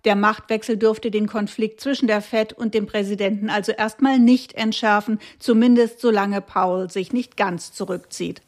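A woman correspondent narrating a scripted radio report with clear, brisk delivery. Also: mid-range voice, fairly even intonation.

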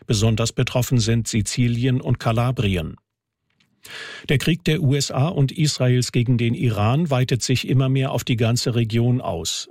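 A male news anchor with a gravelly, creaky edge to his voice, recorded very dry and close. He reads scripted bulletins formally and factually. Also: medium pitch, darkish tone, dry recording. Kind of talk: scripted radio news reading.

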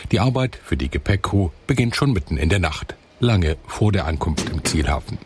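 Deep-voiced male documentary narrator. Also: breathy edge. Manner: calm, measured voice-over. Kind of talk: scripted narration.

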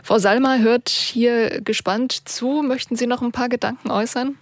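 A woman's voice: fairly high pitch, fairly even intonation, normal speed. A woman hosting a radio call-in show, asking questions with some hesitant fillers. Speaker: radio talk show host.

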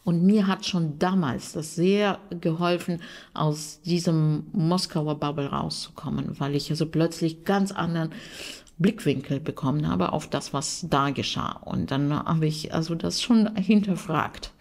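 A woman's voice: deep voice, a little slow, creaky, darkish tone. A middle-aged woman from Russia with a Russian accent, a language teacher reflecting in an interview and sometimes searching for words.

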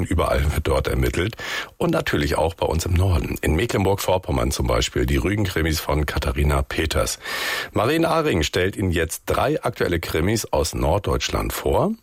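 A man presenting a culture program on the radio, announcing in a calm, measured way. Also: a bass voice, creaky, slightly rough, no room sound, studio quality. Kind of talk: scripted radio moderation.

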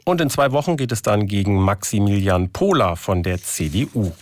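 A man reading regional radio news in a measured, formal studio delivery. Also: lowish voice, expressive.

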